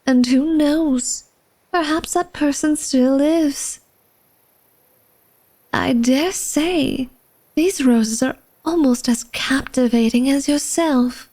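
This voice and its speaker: A woman's voice: high voice, slow, a little mellow. A woman playing an elegant, secretive vampire lady of a manor in a flirtatious ASMR roleplay, speaking formally and coyly to the listener. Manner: formal, genteel, coy, teasing speech addressed to the listener.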